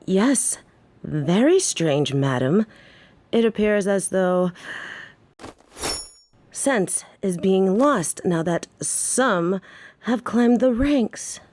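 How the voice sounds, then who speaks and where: A woman playing a cold, vengeful mafia boss in an audio drama, formal, deliberate and dryly sarcastic. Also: medium pitch, expressive, darkish tone, a little hiss or hum. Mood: cold sarcasm. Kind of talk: audio roleplay acting.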